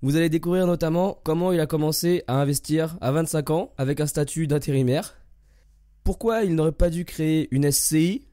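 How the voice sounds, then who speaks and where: A hoarse man hosting a podcast, who reads a welcoming scripted intro and then interviews a guest. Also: a little high, a little fast, no echo, clean studio sound. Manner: welcoming, steady scripted delivery. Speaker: podcast host.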